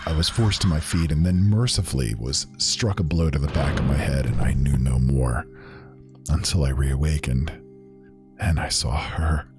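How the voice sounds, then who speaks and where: An actor playing a haunted, grief-stricken man who solemnly and haltingly recounts fantasy horrors in archaic phrasing. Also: a bass voice, a little mellow, soft background music. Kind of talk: dramatic first-person fantasy narration.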